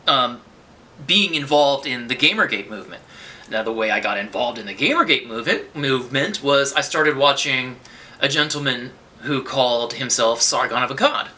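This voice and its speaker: A man's voice: medium pitch, pushed and bright. A man delivering a rambling, unscripted political monologue to his viewers, often restarting his sentences and swearing in bursts. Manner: rambling, with many restarts and profane outbursts. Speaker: vlogger.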